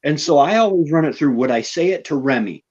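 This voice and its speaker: A man's voice: fairly high pitch, lively intonation, quick pace, a little mellow, slightly rough, dull audio. A man hosting a homestead live stream in casual chat, easygoing and repeating words as he thinks aloud.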